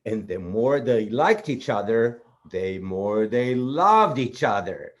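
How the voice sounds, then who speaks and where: A man telling stories to children as a puppet show host, expressive, with simple repeated phrasing. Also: on the low side, takes it slowly. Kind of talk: children's storytelling.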